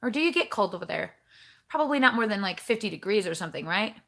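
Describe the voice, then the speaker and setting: A woman's voice: mid-range voice, animated, fast talker, creaky, fairly bright tone. A woman hosting a casual livestream from home, chatting with viewers and greeting them by name in a bubbly, rambling way. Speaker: livestream host. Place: home kitchen.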